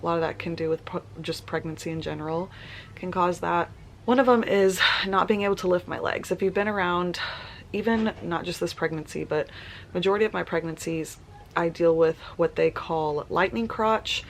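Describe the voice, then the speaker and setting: A woman's voice: lowish voice, moderate pace, slight background noise. A woman, chatting casually in a vlog and sounding congested and nasal, laughing often. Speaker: vlogger. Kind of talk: casual pregnancy vlog chat.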